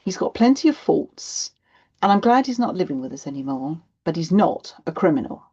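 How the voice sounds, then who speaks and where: A woman's voice: lowish voice, sing-song, moderate pace, warm tone, breathy edge, lo-fi sound, heavily acted. Woman voicing a weary, blunt hard-up mum. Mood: firm. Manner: sighing, blunt, matter-of-fact. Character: weary, hard-up single mum, worried but firm. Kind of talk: acted children's audiobook dialogue.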